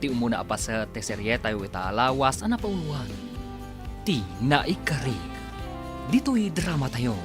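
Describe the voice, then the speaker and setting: A male narrator introduces a radio drama with highly animated delivery. His voice is mid-pitched, with faint music beneath. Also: normal speed. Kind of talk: scripted radio drama announcing.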